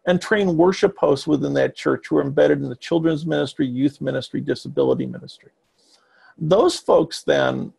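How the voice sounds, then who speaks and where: A man in his fifties, a child psychiatrist and ministry leader, answering podcast interview questions over a webcam call from a home office, in measured, explanatory, long flowing sentences. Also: fairly high pitch, vocal fry, a little mellow, husky. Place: home office with bookshelves, indoors. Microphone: webcam video call.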